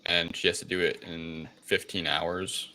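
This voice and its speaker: A man co-hosting a podcast, talking through a review casually and haltingly, as if thinking aloud. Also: deep voice, little pitch movement, sharp tone, poor audio. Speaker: podcast co-host. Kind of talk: casual movie review chat.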